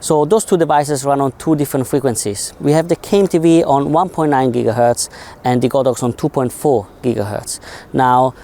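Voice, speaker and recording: A man's voice: medium pitch, fairly quick, few pauses, warm tone. A man giving a casual, informal technical explanation as a co-reviewer of film gear.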